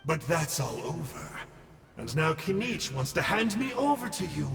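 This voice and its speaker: An actor playing a smug, theatrical villain scholar in a video game, with a teasing, mock-dramatic drawl. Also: male voice, on the high side, sing-song, moderate pace, gravelly, echoey room, in a large hall, music in the background. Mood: mock lamenting.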